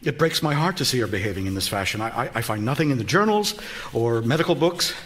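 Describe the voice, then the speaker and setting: An actor playing a stern, exasperated Victorian physician husband in a radio drama, formal and earnest. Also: male voice, medium pitch, lively intonation, fast, fairly bright tone, natural voice. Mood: harried, worried. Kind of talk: theatrical radio drama acting.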